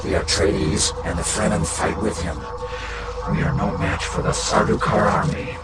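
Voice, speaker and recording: A man plays a deep-voiced, unsettling envoy from a secretive trading house in a strategy game. The delivery is formal, detached and halting, clipped into short statements. The voice sounds set back in an echoing space, with loud music around it. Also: fairly even intonation, lightly acted. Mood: cold, detached. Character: cold, eerie mentat-like envoy of a secretive merchant house. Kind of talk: scripted video game cutscene acting, mission briefing. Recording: distant, echoing voice under loud music and noise.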